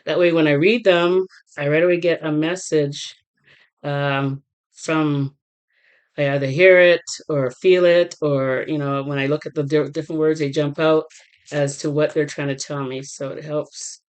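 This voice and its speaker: A woman tarot reader talking casually to her viewers, halting with many fillers and restarts while getting over a cold. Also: low voice, steady tone, smooth. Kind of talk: casual rambling vlog talk.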